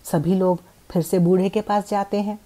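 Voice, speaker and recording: A woman's voice: medium pitch, little pitch movement, normal speed, mellow. A woman narrating a scripted horror story as its storyteller.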